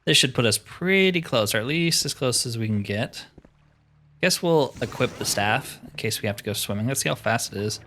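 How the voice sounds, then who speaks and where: A man's voice: medium pitch, lively intonation, moderate pace, sharp tone, slight background noise. A man giving casual, informal commentary on a game playthrough, musing aloud to viewers with mild exclamations. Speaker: gaming channel host.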